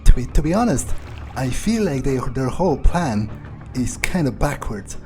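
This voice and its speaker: A man's voice: mid-range voice, very animated, moderate pace, warm tone. A man commentating a video game playthrough in character, musing to himself in a casual, dry way. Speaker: gamer playing in character. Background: faint game music.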